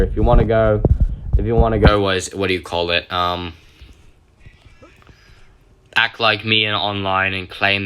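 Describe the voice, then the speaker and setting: Chatty hoarse young man, bright voice. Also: lowish voice, a little hiss or hum. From United Kingdom. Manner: rambling, self-correcting, chatty asides. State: partly lost voice, throat-clearing. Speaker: gaming channel host. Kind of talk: casual game tutorial commentary.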